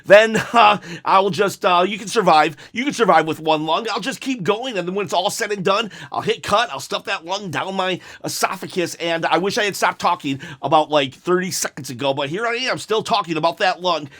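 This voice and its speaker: A man hosting a one-take wrestling review, talking in a rambling, self-mocking, jokey way with a raspy, hoarse voice that breaks into coughs. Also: high voice, a little fast, few pauses, a bit bright. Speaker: wrestling review channel host.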